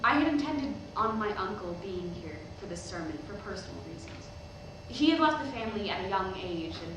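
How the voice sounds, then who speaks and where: A woman's voice: mid-range voice, expressive, close to an everyday voice. A woman playing an eighteen-year-old preacher's daughter, nervous but defiant, giving a hesitant sermon in a staged reading. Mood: earnest, resolute. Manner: halting, hesitant public address with rhetorical questions. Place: indoor hall, recorded from the audience. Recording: very echoey hall, distant microphone, noisy.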